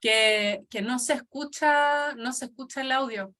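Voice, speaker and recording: A woman with a bright, high voice breaking in on a video call with quick, apologetic remarks. She sounds set back from the microphone, as if speaking from across a room.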